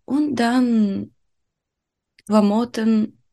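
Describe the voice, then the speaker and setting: A young woman from Ukraine speaking German with a Ukrainian accent, her first language being Ukrainian, pausing often to search for words in an interview. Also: fairly high pitch, gravelly, dark tone. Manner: slow and halting, searching for words with many "äh" pauses. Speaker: guest.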